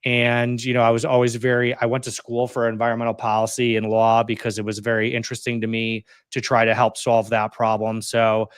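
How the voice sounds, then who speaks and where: Here is a man in his thirties speaking as an interview guest. He talks casually and chattily, filling his sentences with "like" and "you know" and breaking into quick laughs, at a middle pitch. The recording is dry and close, with no room sound. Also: completely flat delivery, clear, resonant tone, no echo. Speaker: interview guest, community organizer. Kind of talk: casual conversational storytelling.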